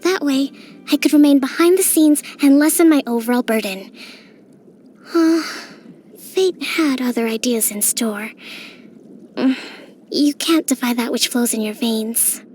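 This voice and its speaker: An actress voicing a young female island priestess and military strategist in a game, speaking in a gentle, composed, softly playful way. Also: high-pitched, unhurried, long pauses, a little hiss or hum. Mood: reflective. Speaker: game character. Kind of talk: game voice lines.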